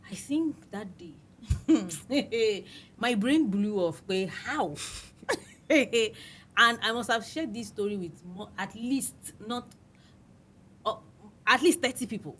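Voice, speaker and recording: A woman's voice: on the high side, animated, slow, fairly bright tone, husky. A woman coach hosting an interview, enthusiastic and affirming, often murmuring agreement. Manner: enthusiastic, affirming with frequent "mm" and "yes". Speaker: host and coach.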